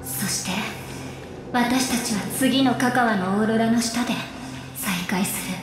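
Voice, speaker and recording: A woman voicing a gentle, tender kinswoman from a memory in a game, soft and soothing. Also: on the high side, unhurried, music in the background, natural voice. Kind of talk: Japanese game voice acting. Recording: heavily echoing memory-like reverb.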